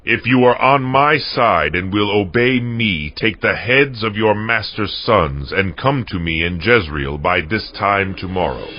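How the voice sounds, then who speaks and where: A man's voice: fairly low pitch, lively intonation, measured pace, few pauses, no room sound. An actor playing a fierce, commanding ancient warrior king with a stern, declamatory delivery in a dramatized scripture audio drama. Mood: stern, challenging. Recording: dull muffled audio under music.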